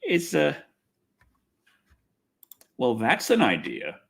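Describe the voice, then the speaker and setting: A man's voice: medium pitch, wildly swooping pitch, drawn-out words, gravelly, fairly bright tone, husky. A man chatting casually on a livestream, rambling and griping in a wry, exasperated, sweary way. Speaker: livestream host. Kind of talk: casual rambling livestream chat, reading comments.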